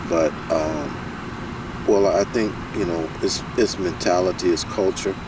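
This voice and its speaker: A man's voice: low voice, slow pace. A man from the United States, a security professional, speaking emphatically and repeating points for stress as an interview guest. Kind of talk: casual conversational interview. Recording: distant mic with loud street and car noise.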